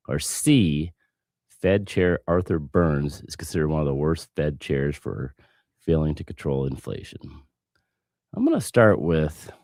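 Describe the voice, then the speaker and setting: Hoarse low-voiced man hosting. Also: no echo, clean studio sound. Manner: relaxed, jokey, rambling. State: hoarse from a cough. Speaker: podcast host. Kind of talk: casual finance chat.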